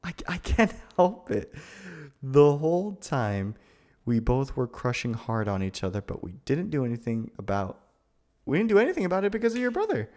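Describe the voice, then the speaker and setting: A man acting as a nervous, animated young guy. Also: medium pitch, normal speed, close to an everyday voice. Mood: flustered, amused. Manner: hesitant, stammering, flustered. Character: nervous, awkward, lovestruck young man, sweet and gentle. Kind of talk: ASMR boyfriend roleplay acting.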